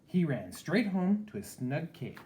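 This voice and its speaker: A man's voice: a little high, very animated, measured pace, a little mellow, a little hiss or hum. A man reading a picture book aloud expressively, with casual asides to a young listener.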